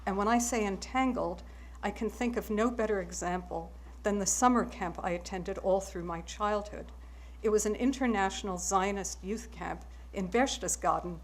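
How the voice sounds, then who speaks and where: A woman's voice: medium pitch, slight background noise, poor audio. Wry German-born woman giving a speech. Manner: measured, wry, reflective. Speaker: prize recipient giving acceptance speech. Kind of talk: formal acceptance speech.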